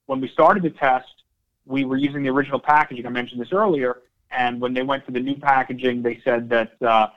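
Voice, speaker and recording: A man speaking as a company executive on an investor call, answering questions in semi-prepared remarks and repeating phrases for emphasis. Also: mid-range voice. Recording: dull, band-limited conference call audio.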